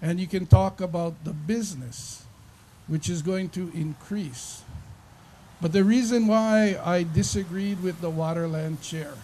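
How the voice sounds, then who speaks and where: A man with a fairly high voice delivers an impassioned public speech, building his points through repeated phrases. He speaks as a legislator at an official signing ceremony, and faint ambient noise sits under his voice. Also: measured pace, dark tone, poor audio. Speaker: state legislator giving a speech.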